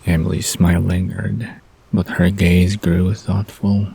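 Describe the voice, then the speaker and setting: A man narrating a bedtime story softly from a script. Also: low-pitched, flat delivery, a little slow, vocal fry, slightly rough. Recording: soft guitar music behind the voice.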